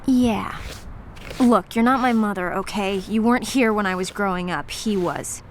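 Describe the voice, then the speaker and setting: A woman's voice: medium pitch, moderate pace, a little hiss or hum, close to an everyday voice. An actress playing a prickly, sarcastic seventeen-year-old girl in a radio drama, grieving and snappy. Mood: defiant, sullen. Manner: sullen, snappy. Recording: steady rain behind the voice.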